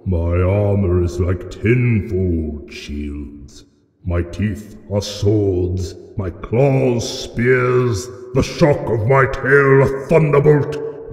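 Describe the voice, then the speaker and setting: In a vast, long reverb, a huge ancient dragon speaks very slowly, with grand menace. He is a boastful, cunning taunter, pitched fairly low, from a fantasy audio drama. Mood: boastful fury. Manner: grandiose, taunting, theatrical delivery. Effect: added cavernous reverb. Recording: cavernous echo and long reverb effect.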